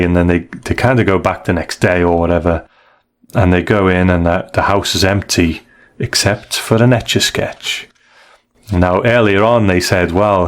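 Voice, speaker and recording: Male podcast co-host, deep-pitched, casual and rambling storyteller. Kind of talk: casual conversational story recap.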